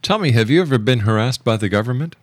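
A man's voice: medium pitch, lively intonation, fast, dry recording. A man hosting a radio interview from a broadcast studio, putting questions to a guest.

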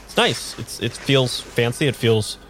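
An actor playing a nervous, hesitant amnesiac man in an improvised radio drama, talking in a halting, understated way. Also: mid-range voice, lively intonation, fairly bright tone, slight background noise, low-quality recording. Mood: uncertain, uneasy. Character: nervous, hesitant amnesiac man having his tarot read.

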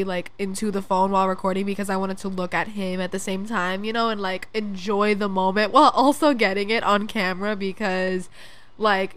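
A woman's voice: medium pitch, a bit bright, clean tone. A young woman hosting a casual solo podcast, chatting loosely with lots of "like" and swearing, her voice congested from allergies. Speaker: podcast host. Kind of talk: casual chat.

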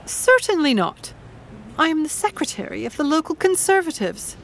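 A proper, courteous mother of middle age in a radio play. Her high voice rises and falls freely, staying crisply formal and quietly determined. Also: sing-song, normal speed, a little hiss or hum, full character voice. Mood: prim, dry. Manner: polite, prim, crisply formal. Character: polite, proper, determined conservative middle-class English mother. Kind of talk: audio drama acting.

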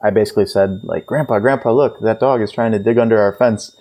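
A man's voice: fairly low pitch, fast talker, warm tone. A man in his twenties, born in Israel, casually telling family stories with frequent fillers. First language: Russian. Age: 20s. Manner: easygoing storyteller with fillers.

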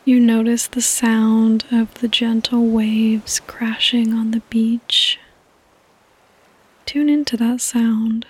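Female meditation podcast host, slightly high but hushed and breathy, soothing, very slow. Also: little pitch movement, slight background noise. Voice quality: breathy, often near a whisper. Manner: soothing, gentle, unhurried. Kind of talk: soft-spoken guided sleep meditation.

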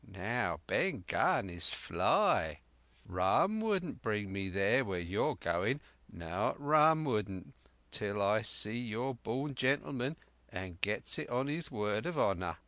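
A man voicing a half-wild old male castaway who speaks in a rough sailor's dialect, eager and cunning. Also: fairly low pitch, very animated, slow pace, bright, forceful tone, no echo, full character voice. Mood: earnest.

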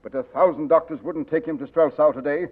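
An actor as a grave old colonel. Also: male voice, a little high, steady tone, brisk, natural voice. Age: older. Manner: grave, commanding. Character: older grave loyal colonel, urgent and fatalistic. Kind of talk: 1940s radio acting. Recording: tinny old radio broadcast.

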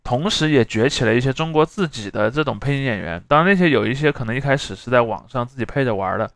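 Fast-talking man, close dry sound. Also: medium pitch, expressive, a bit bright, dry recording. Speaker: podcast host. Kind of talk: conversational explanation.

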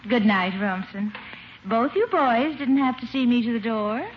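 A woman's voice: fairly high pitch, lightly acted. An actress playing a coy, gushing lady on a date in 1950s radio comedy. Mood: coy, flattered. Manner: gushing, coy, drawn-out delivery. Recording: dull, hissy old radio recording.

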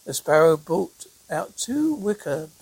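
Husky voice, gravelly creak, halting fairy-tale narration, stumbling amateur storyteller. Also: mid-range voice, very animated, darkish tone, no echo, low-quality recording. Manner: halting, stumbling over words.

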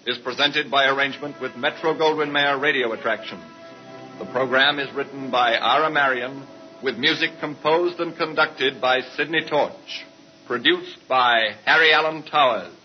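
A man's voice: mid-range voice, normal speed. A man announcing a 1950s radio program in a formal, scripted style. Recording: dull vintage radio, loud music behind.

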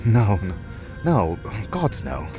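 A bookish, proper and tender male scholar in a radio drama. His voice is very low and creaky, and he speaks slowly and earnestly. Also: huge pitch swings, over music, full character voice. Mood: flustered. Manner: halting, earnest. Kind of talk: radio play acting.

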